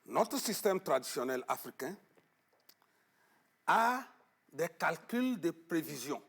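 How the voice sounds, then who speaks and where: A man speaks as a guest in a television studio interview, leaning hard on his points and stalling on fillers before a word comes. His voice is husky with a gravelly roughness. His pitch is fairly high and swings widely as he presses each point. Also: big pitch swings, slow, fairly bright tone. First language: Arabic-educated, French not first. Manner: emphatic, hesitant fillers, repeats words. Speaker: guest on traditional knowledge. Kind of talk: studio interview, emphatic explaining.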